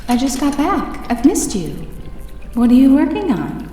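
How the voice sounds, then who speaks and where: A woman performs a scientist's affectionate but exasperated daughter. Her voice is a little high and swings expressively through the lines, heartfelt audio drama style. Music sits softly behind. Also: very animated, a little slow. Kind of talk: full-cast audio drama acting.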